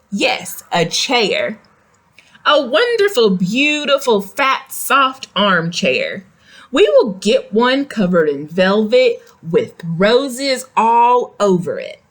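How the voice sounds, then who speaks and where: Slow, expressive woman reading a picture book. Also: mid-range voice. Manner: expressive, explains and asks simple questions. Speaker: read-aloud storyteller. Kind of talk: picture book read-aloud for young children with explanatory asides.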